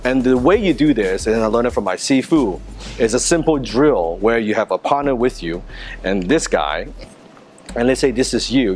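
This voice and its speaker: A man coaching a self-defense class, talking earnestly and a bit haltingly, often restarting and repeating himself. His pitch sits in a middle range for a man. Faint music plays behind him. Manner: earnest, conversational, with restarts and repetitions. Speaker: martial arts instructor. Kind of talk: teaching a self-defense lesson.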